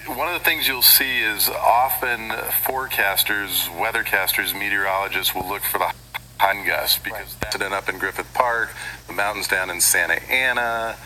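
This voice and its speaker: A man's voice: lowish voice, moderate pace, bright, forceful tone, a little hiss or hum, low-quality recording. An American man giving live, explanatory weather commentary on a newscast, digressing and correcting himself as he goes.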